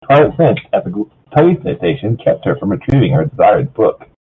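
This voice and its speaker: A man narrates a story in a casual, lively way, often tripping over words and starting again. His voice is very rough and husky. The sound is narrow and muffled, like a low-quality voice chat. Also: brisk. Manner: stumbles over words, often repeats phrases. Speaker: story reader. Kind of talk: casual narration of fan fiction. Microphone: online voice call.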